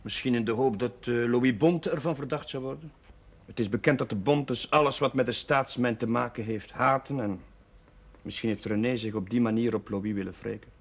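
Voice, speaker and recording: A man plays a village priest with a troubled conscience in a television drama. His husky voice sits at a medium pitch. He speaks in a subdued, hesitant, natural way. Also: moderate pace, lightly acted.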